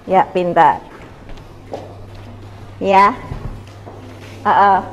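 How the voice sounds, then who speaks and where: A woman coaches student groups informally as their teacher, gently instructing and often checking with questions. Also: mid-range voice, drawn-out words, lo-fi sound. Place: indoor school classroom. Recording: echoey room with background noise.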